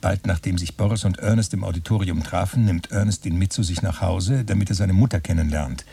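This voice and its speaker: An actor playing a dry, pedantic narrator in a literary radio play, laying out details matter-of-factly. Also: male voice, low voice, brisk, creaky, dark tone, husky, distant mic, exaggerated voice. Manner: matter-of-fact, enumerating. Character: dry, pedantic male narrator who counts and reckons everything.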